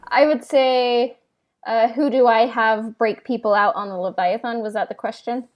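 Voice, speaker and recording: A woman's voice: a little high, fairly even intonation, normal speed. A woman hosting a podcast in casual chat, speaking a little hesitantly with lots of filler words. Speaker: podcast host.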